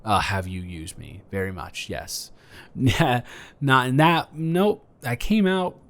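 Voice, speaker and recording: A man's voice: medium pitch, slow, a little hiss or hum, natural voice. A man improvising a flirty, awkward neighbor in a romantic roleplay audio, stammering and earnest as he talks. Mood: flustered, flirty.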